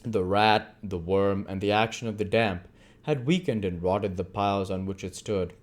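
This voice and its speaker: A man's voice: fairly low pitch, normal speed. A man reading literary narration aloud as an amateur audiobook reader.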